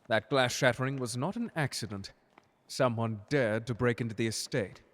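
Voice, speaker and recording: A man playing a suave, unflappable butler in an audio roleplay drama, speaking in formal, genteel, precise language with quiet menace. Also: mid-range voice, normal speed, lightly acted. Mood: composed, urgent.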